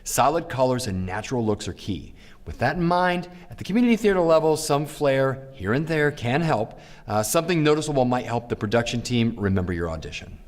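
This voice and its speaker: A man's voice: mid-range voice, animated, a little fast. A man presenting acting tips in scripted narration with casual asides.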